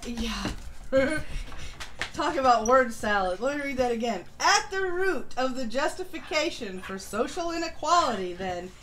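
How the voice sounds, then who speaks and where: A woman with a high voice reads aloud on a casual live stream and adds mocking commentary. Her manner is sardonic and conversational, and there is faint noise in the recording. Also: expressive, unhurried. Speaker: live-stream co-host reading an article and chat comments. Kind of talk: casual political commentary, reading aloud and reacting.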